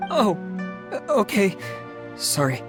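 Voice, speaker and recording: An actor playing an awkward, meticulous civil servant in a video game, hesitant and over-precise. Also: male voice, high voice, wildly swooping pitch, takes it slowly, over music, exaggerated voice. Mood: nervous. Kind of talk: video game character acting.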